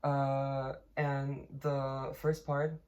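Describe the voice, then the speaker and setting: A woman's voice: very deep voice, level tone, very slow, a little mellow. A young woman from Poland, casually explaining her thesis with many fillers, restarts and laughs. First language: Polish. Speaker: linguistics student.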